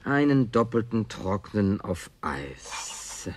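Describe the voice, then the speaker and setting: A man's voice: fairly low pitch, very animated, slow, husky, heavily acted. An actor playing a wry, wary man with a mock-courteous, bartender-like manner, in natural German radio play dialogue. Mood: mock-formal, playful.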